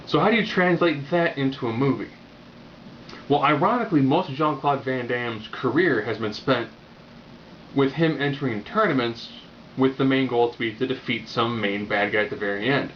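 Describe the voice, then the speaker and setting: A man with a mid-pitched voice talks through a movie review off the cuff, casual and opinionated. His delivery is wry and rambles a little. The recording is dull and lo-fi, with faint noise behind him. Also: normal speed. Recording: muffled lo-fi audio with background noise.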